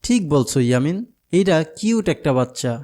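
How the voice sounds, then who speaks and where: A man's voice: on the high side, sing-song, fairly quick, warm tone. A man voicing a friendly, patient older companion to a child in a cartoon, with a Bangladeshi accent. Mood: coaxing. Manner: patient, coaxing, explanatory. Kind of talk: cartoon dialogue.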